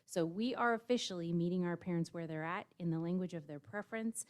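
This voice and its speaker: A woman with a fairly low voice gives an upbeat staff update at a school board meeting. She speaks to the board in a bright, pleased way, with small fillers along the way. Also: fast talker. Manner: upbeat, with light laughs and fillers. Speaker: district staff presenter.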